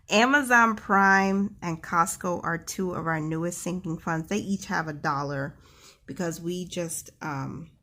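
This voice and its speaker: A woman's voice: low voice, fairly bright tone. A woman with a New York accent, chatting to viewers in an upbeat way as she goes through her savings planner at her desk. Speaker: personal finance vlogger. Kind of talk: casual walkthrough of a savings planner. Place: desk at home, hands writing in a planner.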